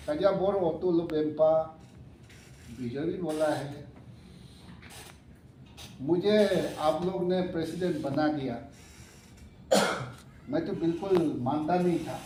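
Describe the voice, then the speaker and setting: Slow, mellow-voiced man in his seventies. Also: fairly high pitch. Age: 70s. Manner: halting and unhurried, with pauses and self-corrections. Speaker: chairman addressing a committee meeting. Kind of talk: formal address to a meeting. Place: hotel meeting hall. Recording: echoey hall, mic far off, faint room noise.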